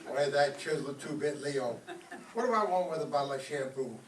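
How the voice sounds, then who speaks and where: Guy playing excitable cheapskate husband, radio comedy bickering, wisecracks, roomy sound w some faint noise. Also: on the high side, fairly quick, natural voice. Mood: grumbling. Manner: wisecracking, theatrical, exclaiming. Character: bickering, grumbling, tightfisted husband, excitable and wheedling. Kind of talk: old-time radio comedy acting on stage. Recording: roomy, distant mic with background noise.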